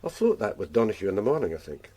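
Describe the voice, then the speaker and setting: An actor playing a sardonic, matter-of-fact police detective in a radio drama. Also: male voice, fairly low pitch, sing-song, very fast, mellow. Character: knowing, sardonic male Glasgow detective.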